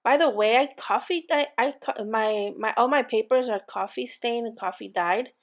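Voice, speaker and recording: A woman's voice: fairly high pitch, moderate pace. Chatty woman with a slight accent. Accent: non-native English accent. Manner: chatty and rambling, many fillers and restarts. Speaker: crafting channel host. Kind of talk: casual crafting tutorial chat.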